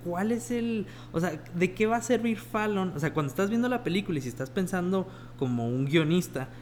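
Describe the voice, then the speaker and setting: Analytical man, slightly high voice. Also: animated, fairly quick, a little hiss or hum. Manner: reflective, analytical, gives soft backchannel replies. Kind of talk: casual film discussion.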